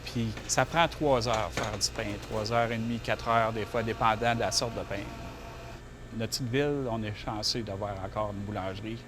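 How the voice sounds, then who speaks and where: A man's voice: on the low side, fairly even intonation, moderate pace, noisy background. A middle-aged man, a baker talking in a homey, chatty way in a documentary interview. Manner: homey, chatty, trails sentences with tag words. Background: guitar music.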